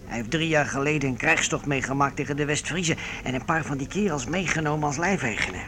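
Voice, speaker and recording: A man's voice: a little high, quick pace, bright, forceful tone. A man acting in a period radio drama as an affable, persuasive, scheming servant of a medieval nobleman. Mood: conspiratorial, persuasive. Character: scheming, smooth-talking medieval nobleman's servant offering bribes. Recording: tavern or street crowd behind voice.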